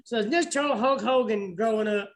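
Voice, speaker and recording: A man's voice: high voice, fast. A man in his late thirties talking casually as a podcast co-host while watching wrestling. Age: late 30s.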